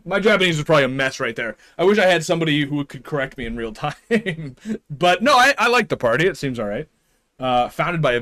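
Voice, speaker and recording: A man's voice: a little high, fairly bright tone. A young man streaming live, chatting casually with his viewers in a rambling way, chuckling often and trailing off.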